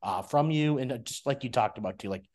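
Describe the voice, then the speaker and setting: A man's voice: medium pitch, quick pace, a little mellow. A young man hosting a soccer podcast, chatting casually with a guest, with friendly rambling talk full of fillers and restarts. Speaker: podcast host. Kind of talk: casual interview chat.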